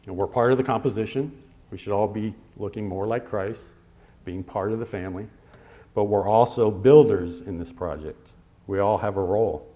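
Low-voiced man preaching a sermon. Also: warm tone. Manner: conversational, with fillers and pauses; slows to stress scripture references. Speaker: pastor.

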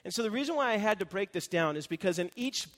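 High-voiced preaching man in a hall. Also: expressive, quick pace, a bit bright. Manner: explanatory, conversational teaching with frequent "okay?" checks. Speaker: pastor. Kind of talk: expository sermon.

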